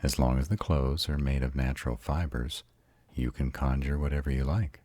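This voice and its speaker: Man playing a male vampire mentor and mate, extremely low pitch, husky, intimate, soothing, deliberate, dry close-mic roleplay audio. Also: fairly even intonation, mellow, no room sound. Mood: gentle, reassuring. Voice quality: very deep, husky. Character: arrogant male vampire, devoted mate and patient mentor to a newly turned partner. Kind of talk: scripted romantic roleplay audio for a listener.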